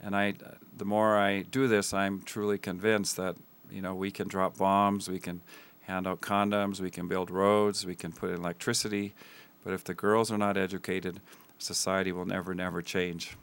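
Low, flat-voiced man, earnest. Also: moderate pace, clear, resonant tone. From Tanzania. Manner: earnest, many ums and uhs. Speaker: guest, school builder. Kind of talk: interview answers.

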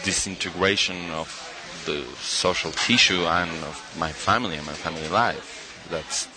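A middle-aged man from Argentina, a guest artist in a radio interview, speaking thoughtfully with frequent pauses and fillers. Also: sits low, steady tone, over music, low-quality recording. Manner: thoughtful, hesitant with many fillers.

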